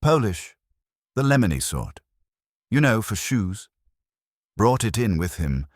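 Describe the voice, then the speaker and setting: A man's voice: lowish voice, big pitch swings, a little slow, no echo, studio quality, pitched above the performer's own voice. A man voicing a prim, sniffy, guarded English landlady who talks in clipped fragments in a mystery story. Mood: guarded, observant. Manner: clipped, terse fragments, haughty. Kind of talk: dramatised mystery audiobook.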